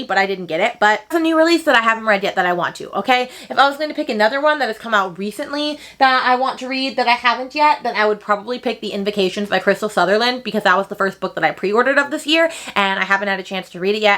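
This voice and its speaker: A woman's voice: mid-range voice, bright, forceful tone. A young woman chatting casually to camera as a book reviewer, gushing and slangy, repeating words for emphasis. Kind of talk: casual enthusiastic chat to camera.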